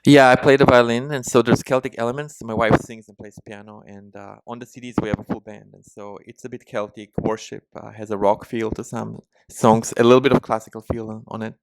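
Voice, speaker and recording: A man's voice: medium pitch, steady tone, fairly quick, gravelly, hardly any pauses, dry recording, low-quality recording. A man with a slight Ukrainian-Israeli accent answering interview questions, hesitant with many restarts and fillers. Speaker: musician guest.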